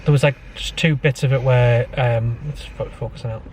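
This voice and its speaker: A man's voice: mid-range voice, fairly even intonation, moderate pace. A young man chatting casually and tentatively, feeling his way through his points. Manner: hesitant, tentative. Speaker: vlogger's partner. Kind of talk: casual chat. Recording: off-mic, distant, noisy ambience.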